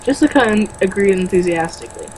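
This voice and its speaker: A woman's voice: medium pitch, animated, fast talker, a little mellow, poor audio. A woman with a mid-range voice reads a book aloud in an informal, amateur way. She stumbles on the odd word and makes blunt, sweary asides. It is a home recording with noticeable background noise. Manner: stumbles over words when reading, blunt and sweary in asides. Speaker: co-host reading a novel aloud with commentary. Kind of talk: amateur read-aloud with mocking casual commentary.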